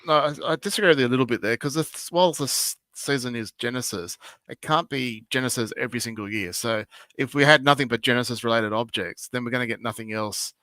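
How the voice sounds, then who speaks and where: A man's voice: medium pitch, fast, bright, forceful tone, no room sound. An Australian man co-hosting a podcast, chatting bluntly with strong opinions and trailing fillers. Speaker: podcast co-host. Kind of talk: casual chat.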